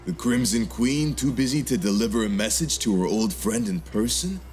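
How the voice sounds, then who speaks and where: A man's voice: mid-range voice, animated, normal speed, a little mellow, soft background music, natural voice. A man playing a smirking, sardonic gang leader in a dramatic fan dub, taunting with mock politeness.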